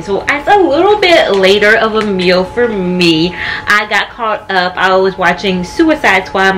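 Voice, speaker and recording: A woman's voice: medium pitch, bright, forceful tone, a little hiss or hum, poor audio. An American woman in her thirties chatting informally and slangily to camera as a food vlogger, recorded at home on a camera mic. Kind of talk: casual chat to camera, food review. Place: home dining area and kitchen, indoors.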